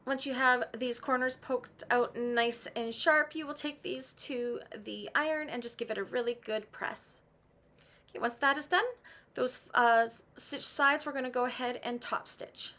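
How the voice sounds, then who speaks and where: Middle-aged woman, raspy from laryngitis, high voice, calm how-to sewing walkthrough. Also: measured pace. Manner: patient, practical, with some "um" and restarts. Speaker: sewing tutorial host. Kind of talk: step-by-step sewing instruction. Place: home sewing room.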